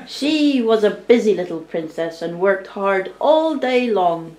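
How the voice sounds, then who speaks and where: A woman narrating a storybook tale live for a puppet show, in a deliberate, theatrical storytelling manner. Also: medium pitch, lively intonation, background noise. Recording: roomy, distant amateur recording.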